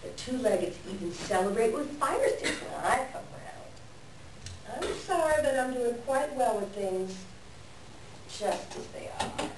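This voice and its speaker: A woman's voice: on the high side, very animated, a little slow. A woman playing a sly, selfish coyote trickster in a staged folktale reading, with folksy, boastful, wheedling talk. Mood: smug, boastful. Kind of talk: staged reader's theater. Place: live reading in a library room. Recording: echoey room, distant mic, background noise.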